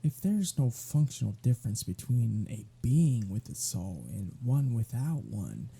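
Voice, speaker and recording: Man acting a husky, dark-voiced sardonic vampire. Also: medium pitch, sing-song, a little slow, heavily acted. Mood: musing, sardonic. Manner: sarcastic, flippant, conversational. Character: sardonic, weary male vampire talking to a hunter. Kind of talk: ASMR audio roleplay acting.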